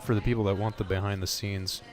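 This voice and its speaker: A man's voice: on the low side, little pitch movement, fast, faint music behind. A man chatting casually as part of a show's crew. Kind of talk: casual chat.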